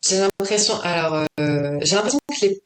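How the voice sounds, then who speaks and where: A woman's voice: medium pitch, normal speed, roomy sound. A woman poet chatting as a podcast guest, thinking aloud with many fillers and restarts. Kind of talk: casual conversation.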